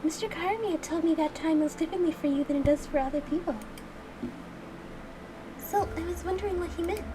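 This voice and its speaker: A woman's voice: very high voice, brisk, long pauses, background noise, voice pushed up. A woman voicing a polite, curious young game heroine who asks puzzled questions.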